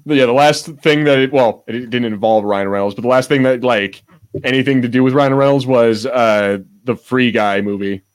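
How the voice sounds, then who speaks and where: A man's voice: mid-range voice, moderate pace, clean tone. Rambling man chatting on a podcast. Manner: rambling, searching for words, breaking off mid-thought. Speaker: podcast co-host. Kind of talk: casual unscripted chat.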